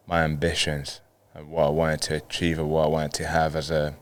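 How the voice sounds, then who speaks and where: A man's voice: very deep voice, completely flat delivery, brisk, no echo. A man in his thirties from Colombia, a native Spanish speaker, telling personal stories casually as an interview guest. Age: 30s. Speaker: podcast guest, footballer.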